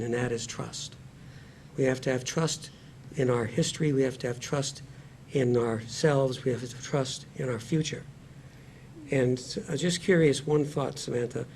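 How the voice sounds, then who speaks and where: Male discussion host, mid-pitched, earnest and deliberate, recorded with audible background noise. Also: darkish tone. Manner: earnest, deliberate, repeats phrases for emphasis. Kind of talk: moderated panel discussion.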